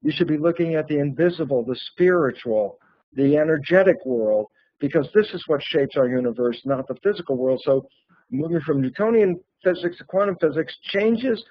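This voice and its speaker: A man with a fairly high voice lectures on science for a lay crowd, conversational and emphatic. He keeps asking questions and answering them in plain colloquial words. The sound is dry and slightly muffled, lo-fi. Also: lively intonation, normal speed, dry recording. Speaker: author and lecturer. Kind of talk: animated popular-science lecture.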